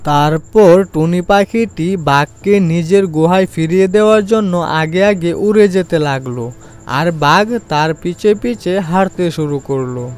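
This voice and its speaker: A man's voice: high voice, measured pace, clean tone, soft background music. A man narrating a scripted children's animal story as the storyteller.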